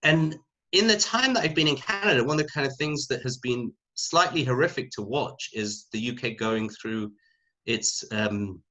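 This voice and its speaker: A man's voice: mid-range voice, lively intonation, bright, forceful tone, rough recording. British male academic panelist, middle-aged. Unscripted, reflective delivery, feeling for words as he goes. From United Kingdom. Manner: reflective, halting, thinking aloud.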